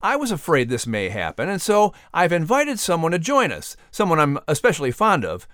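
A man's voice: fairly high pitch, wildly swooping pitch, fairly quick, a bit bright, dry recording, clean studio sound. A man who narrates audiobooks, doing scripted announcing as a podcast host.

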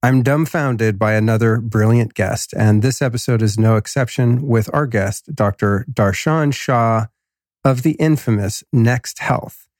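A man's voice: mid-range voice, measured pace, a little mellow, no room sound, clean studio sound. A man hosting a podcast, talking in a laid-back, slangy, enthusiastic way, slightly nasal from a cold. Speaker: podcast host. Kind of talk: casual podcast intro and ad reads.

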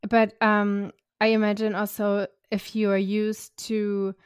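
A woman's voice: mid-range voice, monotone, takes it slowly, clear, resonant tone, no echo, clean studio sound. A woman hosting a podcast, talking in a curious, casual way with many fillers while interviewing a guest on a call. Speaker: podcast host.

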